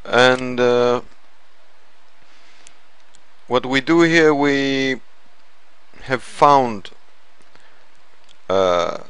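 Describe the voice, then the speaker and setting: A man narrating an informal software tutorial in a halting, step-by-step way. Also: mid-range voice, clear, resonant tone, no echo. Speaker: programming tutorial presenter.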